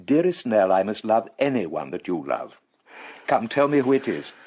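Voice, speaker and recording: An English actor playing a gentle, modest elderly clergyman and tender father in a radio drama, with a husky, courteous delivery. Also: fairly low pitch, sing-song, normal speed, lo-fi sound, a little hiss or hum. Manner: kindly, mild, courteous.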